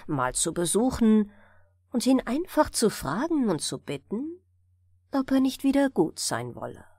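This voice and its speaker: Expressive woman reading a fairy tale. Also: fairly high pitch, unhurried, breathy edge, no room sound, studio quality. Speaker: audiobook storyteller. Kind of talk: scripted fairy tale narration for bedtime.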